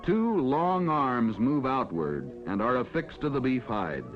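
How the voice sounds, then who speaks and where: An American man reading scripted narration for a mid-century educational film in a formal, measured announcer style. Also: mid-range voice, very animated. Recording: dull vintage film soundtrack with music. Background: background music.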